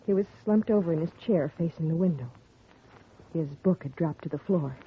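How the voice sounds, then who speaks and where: A grieving young daughter on old radio. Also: female voice, lowish voice, a little fast, husky. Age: young adult. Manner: soft and earnest, recounting quietly. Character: grieving young woman, a murdered man's daughter. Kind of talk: 1950s radio drama acting. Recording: tinny lo-fi 1950 radio broadcast with faint hiss.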